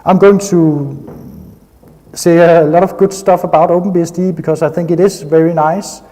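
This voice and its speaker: A man's voice: on the high side, fairly even intonation, normal speed, dark tone. Danish-accented man in a reverberant hall. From Denmark. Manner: enthusiastic, frequent hesitations. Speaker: conference speaker. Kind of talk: technical conference talk. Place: conference hall.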